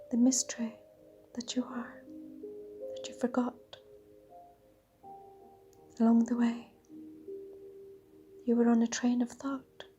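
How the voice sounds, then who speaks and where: A woman leading a guided meditation in a dreamy, soothing, half-whispered voice with drawn-out pauses. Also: on the high side. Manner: breathy, half-whispered delivery. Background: soft sound bath music.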